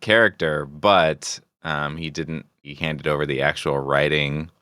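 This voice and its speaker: Male podcast co-host, very low voice with a crisp, bright edge, casual and conversational. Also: steady tone, measured pace, no room sound, clean studio sound. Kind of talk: casual reactive chat.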